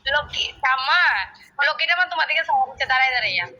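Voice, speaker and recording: A woman's voice: high voice, animated, rapid-fire, bright, forceful tone. A woman chatting casually with her father on a phone call, asking him lots of questions. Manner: chatty, asks many questions. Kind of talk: casual family phone chat. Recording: recorded phone call, dull audio.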